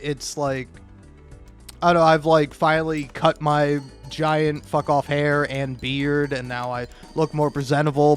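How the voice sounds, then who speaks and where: Higher-voiced man rambling over music. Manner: halting, repeats words and restarts often; self-deprecating, jokey, swears freely. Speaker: video game critic and YouTuber. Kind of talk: casual rambling gameplay commentary.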